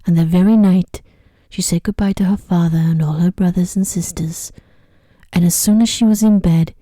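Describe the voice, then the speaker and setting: Woman, ASMR sleep story narrator, hushed and gentle, whispery at times, very dark relaxed tone, dry sound. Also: lowish voice, normal speed, no room sound. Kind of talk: soft-spoken ASMR bedtime story reading.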